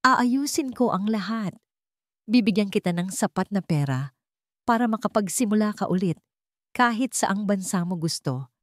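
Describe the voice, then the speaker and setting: A woman's voice: medium pitch, lively intonation, moderate pace, no room sound, clean studio sound, voice pushed up, close to an everyday voice. A woman voicing a cowardly, cold and evasive husband in a radio drama story. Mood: cold, bargaining.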